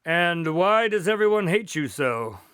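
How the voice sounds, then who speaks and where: An inquisitive police consultant in a radio drama. His voice sits a little high and is recorded very dry. He speaks politely and with measured care. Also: very animated, fairly bright tone, smooth, no room sound, heavily acted. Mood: politely puzzled. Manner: courteous, measured questioning. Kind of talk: radio drama acting and narration.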